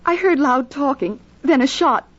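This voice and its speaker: High-pitched, anxious radio heroine. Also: female voice, animated, unhurried. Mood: anxious, grieving. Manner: anxious, dramatic. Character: distraught, high-voiced sister of a murdered woman. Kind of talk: old-time radio mystery acting. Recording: muffled old radio recording.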